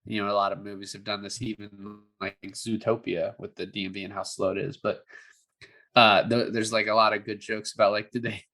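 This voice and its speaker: A man's voice: on the low side, level tone, brisk, pushed and bright. A man co-hosting a movie review podcast, chatting casually about films. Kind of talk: casual film discussion.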